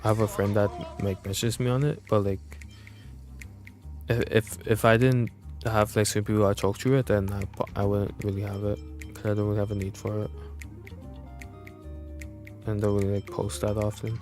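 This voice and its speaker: Low-voiced, rambling young male streamer. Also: level tone, music in the background. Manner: rambling, halting delivery. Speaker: game streamer. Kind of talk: casual livestream chat.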